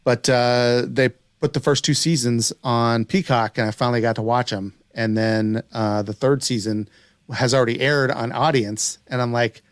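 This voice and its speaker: A man's voice: mid-range voice, fairly even intonation, moderate pace, smooth, no echo, clean studio sound. A man co-hosting a podcast, chatting casually about shows in a conversational way. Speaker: podcast co-host.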